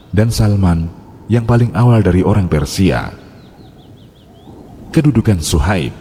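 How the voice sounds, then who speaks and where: A man calmly narrating a scripted religious story in a measured way. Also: fairly low pitch. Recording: long reverb.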